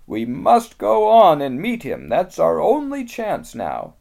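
A reader voicing a stern, wary male beaver who speaks with grave urgency, higher and louder than the narration. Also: huge pitch swings, slow pace, vocal fry, heavily acted. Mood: urgent. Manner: urgent, emphatic storybook delivery.

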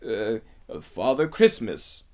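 A timid elderly male fox played by a man reading aloud from a storybook. He is deferential and halting, stammering his careful courtesies. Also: medium pitch, lively intonation. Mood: frightened. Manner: stammering, halting, humbly polite. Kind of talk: storybook character voice.

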